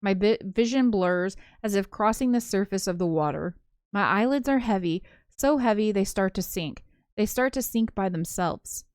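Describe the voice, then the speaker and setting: A woman reading a visual novel aloud as a let's play narrator, in an expressive first-person storytelling manner. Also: mid-range voice, moderate pace, clean tone, no echo, studio quality. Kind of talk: live read-aloud of a story game, with casual asides. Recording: music behind the voice. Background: game soundtrack music.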